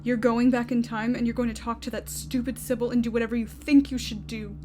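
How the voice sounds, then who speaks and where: A fairly high-pitched young woman acted by a woman, bitter and resolute, speaks bluntly and with command in an audio drama. There is faint noise behind her. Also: level tone, a little fast, a little mellow, lightly acted. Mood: angry, resolute.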